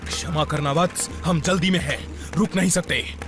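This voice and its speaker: A man's voice: fairly high pitch, normal speed, over music, natural voice. A man voicing an imperious male god of death in a cartoon, with a grand, declamatory manner. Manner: grand, declamatory, addresses others as a lofty elder. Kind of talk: theatrical cartoon acting.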